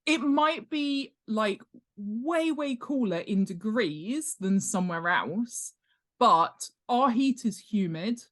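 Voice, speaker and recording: A woman's voice: a little high, expressive, slow, a bit bright. A British woman chatting as an expert guest in a casual interview, explaining things in an emphatic, self-deprecating way. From United Kingdom. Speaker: guest, author and world-building expert.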